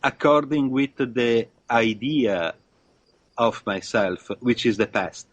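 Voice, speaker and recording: A man speaking as a guest in a reflective conversation, halting often with fillers and restarts. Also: medium pitch, dry recording. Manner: hesitant, many fillers and restarts. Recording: dull lo-fi dry sound.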